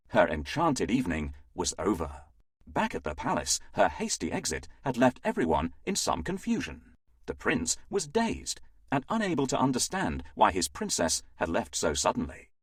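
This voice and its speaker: Husky, highly animated male storyteller. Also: no echo, clean studio sound. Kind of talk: scripted children's fairytale narration.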